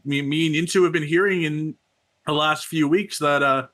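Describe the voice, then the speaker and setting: A man's voice: a little high, fairly even intonation, moderate pace, a bit bright. A man speaking casually as co-host and reporter on an esports talk show.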